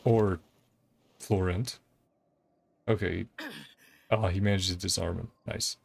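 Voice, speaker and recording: A man's voice: low voice, fairly even intonation, slow pace, gravelly. A man giving casual game playthrough commentary, reading dialogue aloud and rambling hesitantly as he thinks aloud. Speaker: game streamer.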